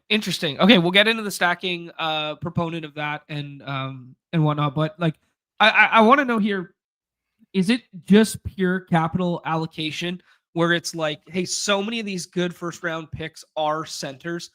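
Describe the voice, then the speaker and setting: A man with a head cold sounds nasal and stuffed up as he hosts a casual sports chat. His voice sits high and bright, and he talks in an upbeat, slangy way. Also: moderate pace, clean tone. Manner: upbeat, slangy hype talk. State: congested from a cold. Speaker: podcast host.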